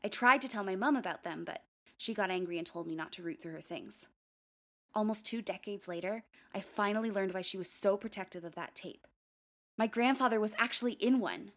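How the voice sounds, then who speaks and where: A young woman playing a narrator who recounts eerie experiences in a measured, confiding way, in an audio drama. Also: mid-range voice, a bit bright, lightly acted. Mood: confiding, serious.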